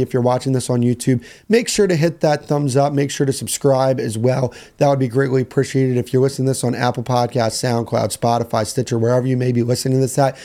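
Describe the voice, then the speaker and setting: A young man with a hoarse voice, hosting a solo sports podcast in a casual, rambling way that repeats phrases. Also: medium pitch, dark tone. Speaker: podcast host.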